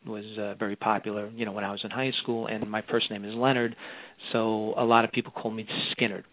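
A man's voice: lowish voice, level tone, fast talker, dry recording. A man in his sixties from Long Island with a New York accent, a guest author telling rambling stories in a casual interview. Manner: chatty, rambling storyteller. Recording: dry, tinny phone-like line.

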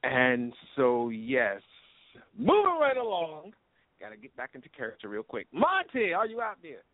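A man's voice: on the high side, wildly swooping pitch, normal speed, no echo. Male radio host on a tinny line. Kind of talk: casual internet radio chat with shout-outs.

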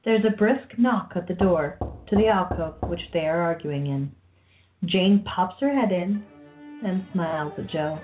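A woman's voice: on the low side, measured pace. A woman reading scripted narration for a radio play. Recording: phone-like audio with faint music.

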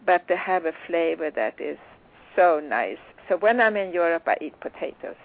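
A woman from Sweden with a Swedish accent, hosting a casual interview in a halting way, repeating words as she goes. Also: fairly low pitch, sing-song, vocal fry. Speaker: radio show host. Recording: tinny phone-like radio sound.

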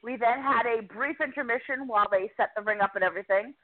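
Excited woman on a tinny phone line. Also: fairly high pitch, quick pace. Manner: chatty, enthusiastic, self-deprecating. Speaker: indie wrestling reporter. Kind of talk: casual podcast recap.

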